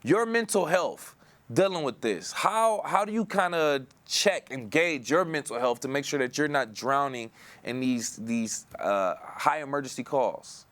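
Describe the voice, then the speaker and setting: A man's voice: mid-range voice, expressive, a little slow, fairly bright tone. American man hosting a conversational interview. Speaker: interview host.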